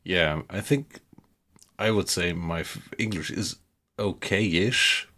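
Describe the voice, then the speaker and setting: A German-accented man streams a game and talks casually with his viewers, relaxed and a little hesitant. His voice sits very low and has a gravelly, husky edge. He speaks at an unhurried pace. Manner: relaxed, hesitant, often chuckling. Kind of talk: casual chat with viewers while gaming.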